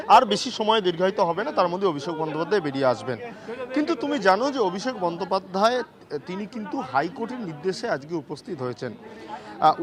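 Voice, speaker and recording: A man with a West Bengal accent giving an unscripted live news report as a field reporter. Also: high voice. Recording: busy crowd noise outdoors.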